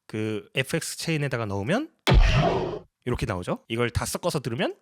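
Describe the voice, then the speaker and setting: Lively-pitched man explaining his process. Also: brisk. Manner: polite, step-by-step explaining. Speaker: sound designer presenting a tutorial. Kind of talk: casual explanatory tutorial narration.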